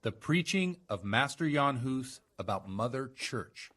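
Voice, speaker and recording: An actor playing a worldly, roguish village priest with a theatrical streak in a medieval video game. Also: male voice, medium pitch, expressive, a little slow, a bit bright. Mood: fiery preaching. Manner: conversational, theatrical when preaching. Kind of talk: dramatic video game dialogue.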